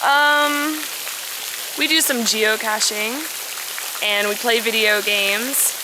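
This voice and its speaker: A woman's voice: on the high side, fairly even intonation, takes it slowly, noisy background. A young American woman chatting casually and reflectively with her viewers, answering their questions. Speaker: vlogger, preschool English teacher.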